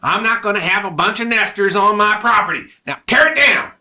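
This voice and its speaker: A man playing a grumpy old miserly rancher in an Old West radio play, with a sharp, forceful, folksy delivery. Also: high voice, animated, fairly quick, heavily acted. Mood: harsh, scolding. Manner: crotchety, scolding, folksy.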